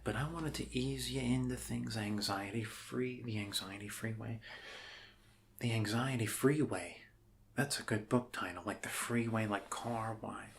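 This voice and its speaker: Man acting as friendly male doctor, American accent, low soft voice, rambling, reassuring, dryly jokey, ASMR roleplay, noisy background. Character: friendly, rambling human doctor welcoming an alien to Earth.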